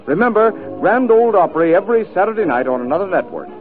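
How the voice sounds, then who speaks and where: A man's voice: high voice, very animated, fast talker, over loud music. A man reading a 1940s radio advertisement as a commercial announcer. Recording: tinny vintage radio broadcast.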